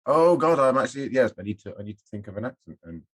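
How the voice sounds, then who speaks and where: A man's voice: mid-range voice, lively intonation, quick pace, fairly bright tone, dry recording. A man chatting casually as a voice actor guest on a podcast. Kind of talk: casual chat.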